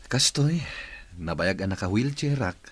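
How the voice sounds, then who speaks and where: A man's voice: lowish voice, expressive, unhurried, slightly rough, dry recording. An actor playing a subdued male boss recovering from illness in a radio drama.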